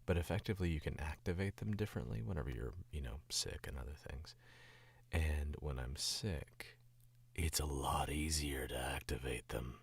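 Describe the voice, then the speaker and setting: A man's voice: extremely low pitch, normal speed, gravelly, slightly rough, no room sound. A sick man from the Southern US with a Southern accent, chatting casually and rambling on a stream as a voice actor. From Southern United States. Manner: rambling and distracted, chuckling often. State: sick, voice dropped lower.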